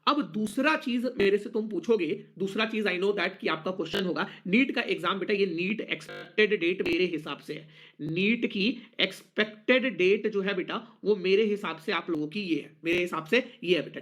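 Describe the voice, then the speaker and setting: High-pitched Indian man teaching, emphatic. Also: a bit bright. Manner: emphatic, repeats key phrases; mixes English words and phrases into speech. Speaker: online physics teacher. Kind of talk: informal live lesson addressed to students.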